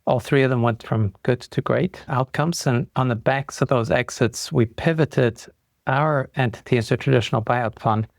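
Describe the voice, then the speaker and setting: A man's voice: mid-range voice, normal speed, creaky, no echo, clean studio sound. A man from Europe, a guest on a podcast, telling his career story fluently and with enthusiasm. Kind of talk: conversational interview answers.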